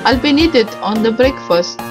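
A woman's voice: fairly high pitch, expressive, moderate pace. A woman doing casual game commentary, reading a visual novel's dialogue aloud and stumbling over some words with self-corrections and asides. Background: music.

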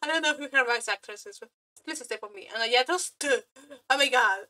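A woman streamer chatting casually to viewers in a gushing, flirty fangirl manner, halting and repeating her words as she goes. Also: a little high, bright, forceful tone. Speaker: aspiring VTuber and streamer. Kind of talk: casual reaction chat to viewers.

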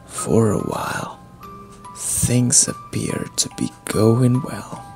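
Very creaky low man telling horror. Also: very animated, slow. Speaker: storyteller. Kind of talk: dramatic reading of a scary story. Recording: music behind the voice. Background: chiming mallet music.

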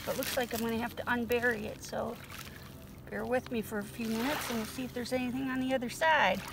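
A high-voiced woman chats casually to her viewers as she walks a beach, a little gravelly at the edges. Wind and waves are plainly heard behind her on a windy outdoor phone recording. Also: fairly even intonation, a little fast. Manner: chatty, musing aloud to viewers. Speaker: vlogger. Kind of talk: casual narration while rockhounding.

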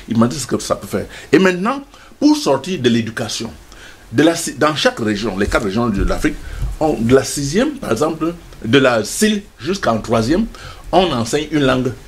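A man's voice: mid-range voice, slightly rough. Emphatic older man in a TV studio. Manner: emphatic, gestures constantly, stammers repeated words. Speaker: studio guest. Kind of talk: passionate studio debate.

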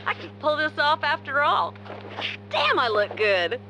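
A woman's voice: sits high, very animated, unhurried, background noise. A woman voicing a brash, hot-tempered, vain young tomboy in a video game, with a blunt, brassy manner. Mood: smug, musing. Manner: brassy, blunt, talking to herself or scolding.

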